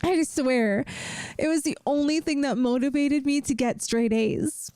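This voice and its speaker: A woman playing a caring young best friend in a soft, intimate ASMR roleplay, speaking in a gentle, earnest, playful way. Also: sits high, measured pace, no room sound. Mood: fond, nostalgic. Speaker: audio roleplay performer.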